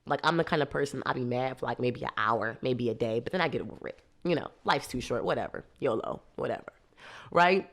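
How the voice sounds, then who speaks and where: A woman, hosting a podcast and explaining ideas in a lively, laughing, casual way. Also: rumbling low, brisk, creaky. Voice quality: nasal. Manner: lively, laughs a lot, teasing. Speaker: podcast host. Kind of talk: casual teaching talk.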